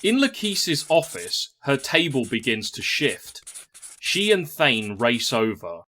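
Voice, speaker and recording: Male narrator, scripted fantasy story, expressive and dramatic, medium pitch, noticeable noise on the recording. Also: slow pace.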